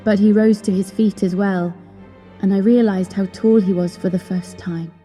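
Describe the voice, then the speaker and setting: A young opera singer played by a woman tells her gothic tale with earnest, measured wistfulness. Her tone is very dark and mellow at a medium pitch. Long reverb surrounds the voice, and music plays behind it. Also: fairly even intonation, close to an everyday voice. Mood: uneasy wonder. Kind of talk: dramatic first-person storytelling in an audio drama.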